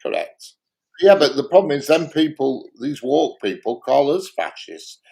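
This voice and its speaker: A man's voice: on the high side, very animated, a little slow, creaky, darkish tone. A man with a Lancashire accent chatting casually and bluntly about his opinions, emphatic and often repeating himself. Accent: Lancashire English. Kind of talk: casual opinionated live-stream chat.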